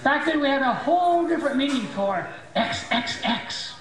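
A man in his seventies doing stand-up comedy on a small tavern stage with a handheld mic. He has a squeaky, high voice after voice surgery and tells dry, self-mocking jokes. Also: animated, normal speed. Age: 70s. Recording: echoey bar room with crowd.

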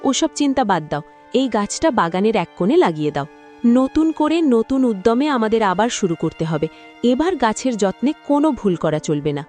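A woman's voice: fairly high pitch, big pitch swings, normal speed, a little mellow, soft background music. A woman voicing a supportive, practical, resolute farmer's wife in a cartoon, earnest and encouraging. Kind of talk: cartoon voice acting.

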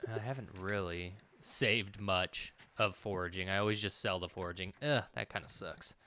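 A man's voice: low voice, steady tone, normal speed, bright, forceful tone, slight background noise. A man in his thirties giving casual gameplay commentary, rambling and thinking aloud as he plays. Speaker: let's play host. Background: game music.

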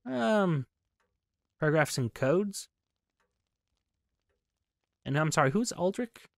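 A man's voice: on the high side, lively intonation, slow pace, gravelly, no room sound. Musing man with a dry, close sound. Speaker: gaming video host. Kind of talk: casual game commentary mixed with reading dialogue aloud.

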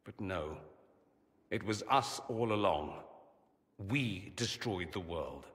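A man's voice: sits low, lively intonation, slow, a bit bright, long reverb tail, full character voice. An actor playing a witty, vain and charming young mage nobleman in video game dialogue, teasing and theatrical in manner. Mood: brooding. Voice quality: arch, ironic wit. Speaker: mage companion.

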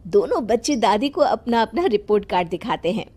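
A woman narrating a moral cartoon story in a plain, even storytelling manner. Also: mid-range voice, fairly quick, breathy edge.

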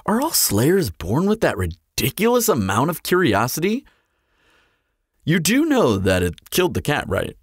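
A man's voice: on the high side, huge pitch swings, unhurried, slightly rough, dry recording, clean studio sound, full character voice. A man playing a teasing, flirtatious immortal vampire in an audio roleplay, with a playful, sardonic, theatrical drawl. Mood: coy, teasing. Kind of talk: scripted audio roleplay acting.

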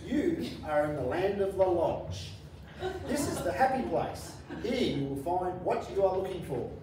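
A man's voice: sits high, sing-song, unhurried. A man playing a self-important, unhelpful good fairy in an amateur stage pantomime, declaiming grandly. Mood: haughty. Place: care home common room, indoors, before an audience. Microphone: far camera. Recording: echoey hall, distant microphone, clear room noise.

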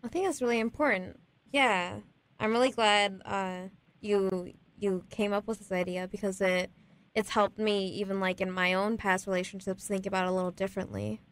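A woman's voice: medium pitch, fairly even intonation, moderate pace. A woman interviewing casually for a radio show, with tentative, chatty phrasing full of little hedging words. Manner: tentative phrasing, says "like" often.

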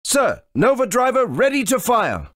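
A woman's voice: on the high side, sing-song, a little slow, no room sound, clean studio sound. A woman playing a dutiful military bridge operator in a video game, reporting formally. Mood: alert.

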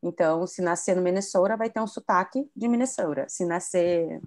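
A woman's voice: lowish voice, fairly quick, darkish tone. A woman from southern Brazil, an educator and author, explaining bilingualism on a video call and often adding tag questions. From southern Brazil (Rio Grande do Sul).

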